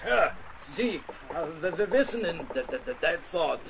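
A man's voice: fairly high pitch, very animated, unhurried, vocal fry, noisy background, exaggerated voice. An actor playing an intimidated sailor in a German radio play, speaking up to his captain with a heavy stutter. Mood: nervous.

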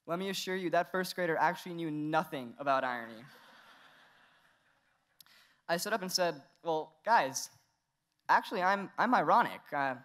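A man's voice: a little high, expressive, normal speed, long pauses, fairly bright tone. A teenage man from Iran giving a valedictorian speech from a podium mic on a large indoor stage, earnest with touches of humor. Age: teens. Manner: earnest, with light humor and laughs. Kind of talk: graduation speech.

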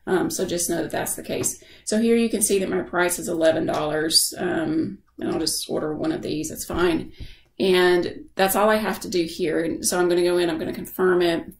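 Woman, creaky voice, casual tutorial narration, explanatory, thinking aloud, noticeable room echo. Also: lowish voice, steady tone, normal speed. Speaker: software instructor.

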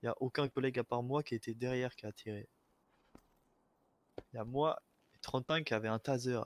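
A man plays a police officer in improvised game role-play. His voice is mid-pitched and conversational, and he argues his point insistently. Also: steady tone, fairly quick.

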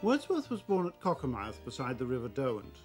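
A man in his fifties with an English accent presenting a documentary in an articulate, scholarly, measured way. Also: medium pitch, wildly swooping pitch, over music.

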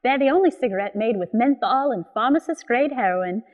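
A woman playing a suave, salesy pitchwoman in a parody commercial. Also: animated, a little fast, long reverb tail, phone-quality sound, lightly acted. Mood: smooth selling. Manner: polished, salesy. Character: suave pregnant cigarette pitchwoman in parody advertisement.

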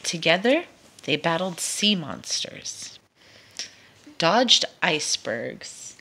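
A woman reading a children's picture book aloud as a storytime narrator, expressive and deliberate. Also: on the low side, creaky, breathy edge. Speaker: storytime reader.

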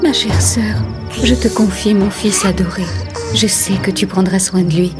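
A woman's voice: mid-range voice, fairly even intonation, normal speed, loud music behind the voice, natural voice. A woman playing a dying young queen and loving mother in a French cartoon dub, tender and solemn. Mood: tender, resigned. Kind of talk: French animated film dub.

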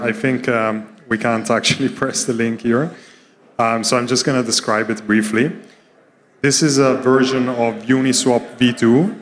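A man in his thirties giving a conference product presentation as a company co-founder, speaking in a somewhat halting, hesitant way into a handheld microphone. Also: medium pitch. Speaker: startup co-founder giving a keynote. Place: indoor conference stage. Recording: reverberant hall through stage microphone.

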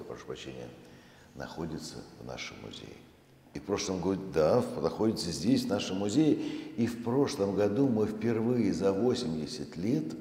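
Very deep-voiced man lecturing in echoey hall. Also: warm tone. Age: middle-aged. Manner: measured, deliberate, with pauses mid-phrase. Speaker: museum director presenting a project. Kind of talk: public lecture to an audience. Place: large concert hall stage, indoors. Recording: echoey hall, faint background noise.

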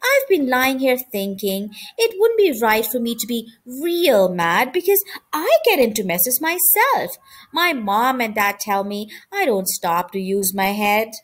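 Young boy for a children's story, candid and eager, high animated tone female reader. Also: measured pace. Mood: confiding. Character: young boy, friendly, candid, impatient to grow up. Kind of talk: children's storybook character reading.

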